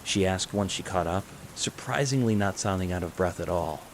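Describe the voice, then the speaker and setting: A man's voice: low voice, moderate pace, slight background noise. A man reading scripted fan fiction as the narrator, telling the story in prose.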